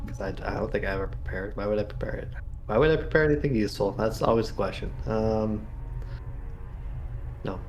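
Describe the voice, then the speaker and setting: A man chatting casually as a player in a tabletop roleplaying game, thinking out loud in a hesitant, musing way. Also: on the low side, fairly quick, long pauses, slight background noise, poor audio. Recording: music playing faintly behind. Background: background music.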